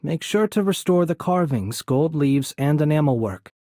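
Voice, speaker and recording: A man playing a courteous, composed young noble mage in a video game, soft-spoken and slightly breathy, with polite, measured, formal phrasing. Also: on the high side, animated, dry recording, clean studio sound, lightly acted. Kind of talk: video game character voice acting.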